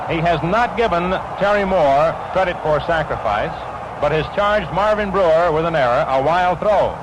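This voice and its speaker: High-voiced 1940s American radio baseball announcer, noisy recording. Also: male voice, normal speed, dull audio. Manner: crisp, descriptive phrases following the action. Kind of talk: 1940s radio sports commentary. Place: ballpark broadcast position.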